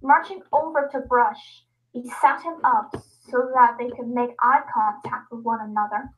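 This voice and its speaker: Muffled, high-voiced woman reading a story. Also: steady tone, normal speed. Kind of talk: dramatic reading of scripted story lines. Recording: dull lo-fi sound.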